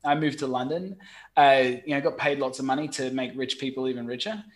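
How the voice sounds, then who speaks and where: A middle-aged Australian man, a guest on a podcast call, talking frankly and with lively storytelling, salting it with mild swearing. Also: mid-range voice, fairly quick. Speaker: guest, website marketing expert. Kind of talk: casual podcast chat. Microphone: remote call.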